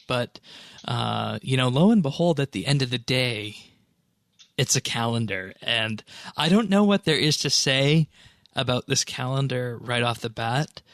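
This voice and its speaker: A man hosting a podcast in casual discussion, explaining things in a measured, somewhat hesitant way. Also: mid-range voice, creaky, fairly bright tone, no echo, studio quality. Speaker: podcast host.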